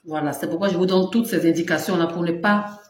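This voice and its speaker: A woman's voice: fairly low pitch, steady tone, brisk. A woman selling skin products on a live stream, chatting casually, laughing often and answering viewers' comments, with a runny nose. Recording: very echoey room with faint noise.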